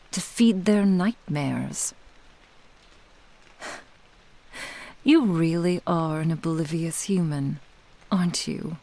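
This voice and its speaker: A woman playing a possessive, doting fox-spirit witch in an intimate ASMR roleplay, teasing in formal old-fashioned phrasing and often dropping into breathy whispers. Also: on the low side, warm tone, poor audio. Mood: amused, condescending. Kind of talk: ASMR roleplay, intimate scripted monologue to the listener.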